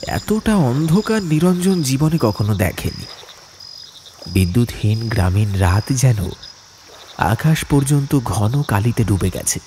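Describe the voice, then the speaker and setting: Expressive male horror storyteller, lively pitch. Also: a little hiss or hum. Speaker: audiobook narrator. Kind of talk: dramatic horror story narration.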